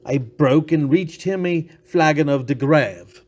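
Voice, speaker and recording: A man's voice: fairly high pitch, measured pace, darkish tone. A man narrating a classic short story in a dramatic literary style. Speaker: story reader.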